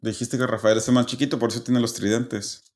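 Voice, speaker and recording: A man's voice: medium pitch, level tone, brisk, darkish tone. Joking Mexican man chatting casually. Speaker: podcast co-host. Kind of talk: casual chat.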